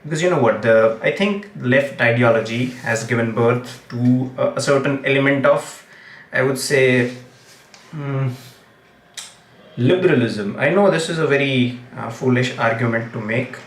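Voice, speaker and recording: A man, a Gujarati speaker, hosting a casual commentary livestream and talking in halting, restart-filled sentences. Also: medium pitch. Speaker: livestream host. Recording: roomy, distant mic, faint ambience.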